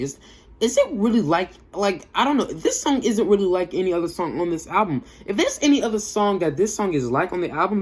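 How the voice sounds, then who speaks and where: A man's voice: fairly high pitch, a little mellow, husky. A young man giving a casual, off-the-cuff music review in a slangy, emphatic way, often repeating himself for effect. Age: young adult.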